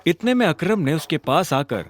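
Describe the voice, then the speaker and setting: A man narrates an audio drama in a dramatic, scripted style. His voice sits fairly high and moves in lively swings, with music playing behind him. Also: normal speed.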